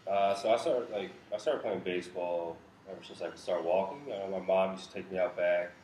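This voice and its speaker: A man's voice: low-pitched, fairly even intonation, brisk, a little mellow. An American man in his twenties from Pennsylvania, talking casually as an interview guest. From Pennsylvania, United States. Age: 20s. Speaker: baseball player, interview guest. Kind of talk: casual chat. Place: living room couch, indoors. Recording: echoey room with faint background noise.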